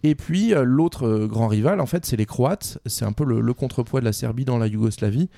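A man talks through history in a casual group discussion. His voice is gravelly and mellow, and the sound is close and dry. He explains like a teacher, in everyday slangy words. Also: medium pitch, brisk, no echo. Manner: didactic, colloquial explanations.

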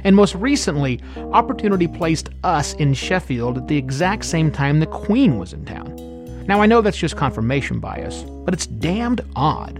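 A man's voice: fairly high pitch, sing-song, normal speed. A man co-hosting a travel podcast, narrating with dry, wry humor. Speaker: podcast co-host. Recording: narration over background music. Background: theme music.

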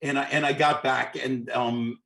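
A man's voice: medium pitch, flat delivery, moderate pace, gravelly, fairly bright tone. A middle-aged man from New York, a guest musician telling long anecdotes in an interview, with frequent restarts and repeated words. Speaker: guest, orchestral clarinetist. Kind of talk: storytelling interview.

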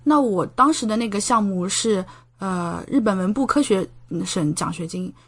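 A woman in her thirties from China talking casually as a podcast guest, explaining things in a chatty way with frequent tag questions. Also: mid-range voice. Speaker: podcast guest, doctor studying abroad. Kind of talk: casual conversational interview, explaining and recounting.

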